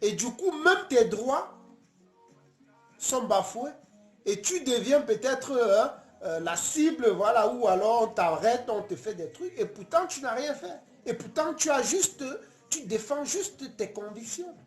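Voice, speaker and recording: A man from Cameroon, hosting a casual late-night live stream, talking earnestly and passionately with many halting restarts. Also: very high-pitched, animated, roomy sound, faint music behind.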